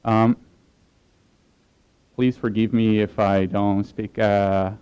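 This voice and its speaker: A man with a Portuguese accent gives a technical talk at a conference. His voice sits fairly low and sounds mellow and smooth. He speaks in a relaxed way, with many fillers and restarts. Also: slow pace, lo-fi sound.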